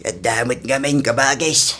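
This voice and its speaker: A man playing a worried, thrifty old father in a radio drama, questioning and gently reproving with an Ilocano accent. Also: medium pitch, steady tone, normal speed. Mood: resigned. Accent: Filipino (Ilocano). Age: older.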